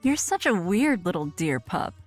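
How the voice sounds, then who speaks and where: A woman's voice: on the low side, big pitch swings, moderate pace, no echo, soft background music. A woman voicing a brash female predator creature with fangs, mocking and blunt in a playful way, in an audio story. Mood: menacing playful. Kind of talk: acted dialogue in an audio story.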